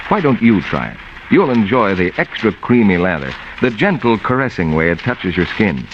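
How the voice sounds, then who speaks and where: A man with an American accent announcing and selling in the polished style of 1940s radio advertising. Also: fairly low pitch. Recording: dull vintage radio recording, faint noise.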